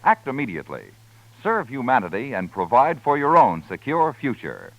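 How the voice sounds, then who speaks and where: A man reading a crisp, formal scripted radio announcement. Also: medium pitch, huge pitch swings, normal speed, dry recording. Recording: dry, lo-fi old radio broadcast.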